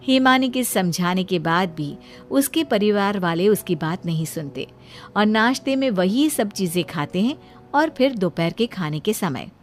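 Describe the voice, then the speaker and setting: A woman's voice: mid-range voice, lively intonation, a little slow. Female storyteller, scripted narration, light music in the background.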